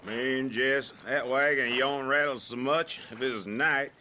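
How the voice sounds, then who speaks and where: Bright-voiced, genial Appalachian sheriff. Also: male voice, medium pitch, fairly even intonation, a little hiss or hum. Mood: genial, knowing. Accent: American Appalachian. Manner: folksy, genial, unhurried country talk. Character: easygoing, knowing backwoods county sheriff. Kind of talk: radio drama acting.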